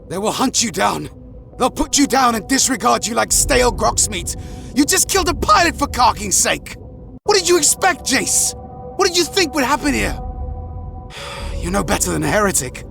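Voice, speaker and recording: A man's voice: sits high, gravelly, heavily acted. A man playing a loyal veteran guardsman in an audio drama, outraged and disbelieving, with an agitated, accusing delivery.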